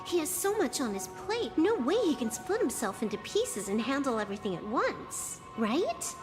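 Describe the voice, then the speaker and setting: An actress voicing a feisty, excitable young girl adventurer in a video game, exclamatory and questioning. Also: sits high, moderate pace. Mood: puzzled, exasperated. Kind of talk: animated video game acting. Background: music.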